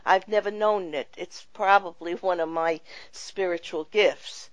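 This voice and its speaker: Woman talk-show host, slow and gentle, reflective spiritual tone, dry close sound. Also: lowish voice, no echo, rough recording.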